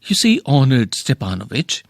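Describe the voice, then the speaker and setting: A man's voice: medium pitch, huge pitch swings, normal speed, gravelly, dry recording, clean studio sound, heavily acted. A man reading the part of a nervous young suitor who stammers and hesitates.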